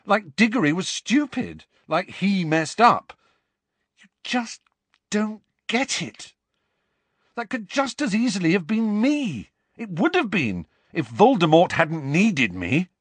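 A man's voice: on the high side, huge pitch swings, measured pace, a bit bright, slightly rough, dry recording, pitched above the performer's own voice, exaggerated voice. A man reading aloud and voicing an angry teenage boy wizard, raised and insistent in his speech. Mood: heated.

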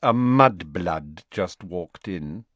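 A man's voice: sits low, animated, very slow, a bit bright, no echo, full character voice. A man voicing an arrogant, sneering teenage boy. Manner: sneering and contemptuous.

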